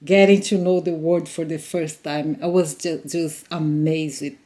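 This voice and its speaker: A woman's voice: low-pitched, steady tone, a little slow, mellow. A Brazilian woman in her forties, Portuguese her first language, telling her story in English with learner's grammar and frequent laughs. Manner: earnest, laughs often, simple learner's grammar. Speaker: vlogger. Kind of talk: casual storytelling.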